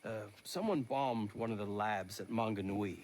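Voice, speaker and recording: An actor playing an excitable, goofy tech sidekick in a video game, informal and animated. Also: male voice, on the low side, normal speed, slight background noise, lightly acted. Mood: earnest. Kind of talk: video game cutscene acting.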